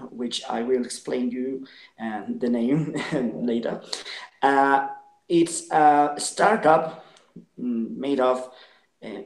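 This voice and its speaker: A man's voice: a little high, a little mellow. Young guy w/ latin american spanish accent, earnest, lots of ums, roomy echo. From Ecuador. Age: 20s. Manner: earnest, halting with many fillers. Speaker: guest, social entrepreneur. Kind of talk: video call interview.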